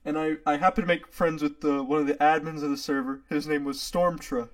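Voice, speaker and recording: A man's voice: fairly high pitch, fairly even intonation, fairly quick. A young man hosting a casual chat with friends, telling a long story in a rambling way with many fillers and frequent laughs. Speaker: podcast host.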